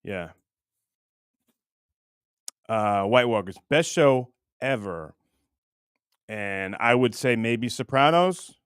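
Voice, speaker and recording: A man hosting a casual livestream, rambling and halting as he chats with viewers in a crude, jokey, profane way. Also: mid-range voice, lively intonation, clear, resonant tone, no echo, clean studio sound. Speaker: livestream host.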